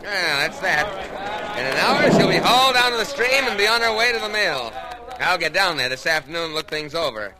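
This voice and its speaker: An actor playing a blunt, defiant lumber worker in a 1940s radio drama. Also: male voice, high-pitched, expressive, normal speed, a loud crowd. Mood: brisk. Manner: blunt, offhand. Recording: old radio recording, busy background sound.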